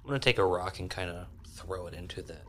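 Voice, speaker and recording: A man's voice: low-pitched, fast, slight background noise. A man talking casually as a player in a tabletop role-playing game.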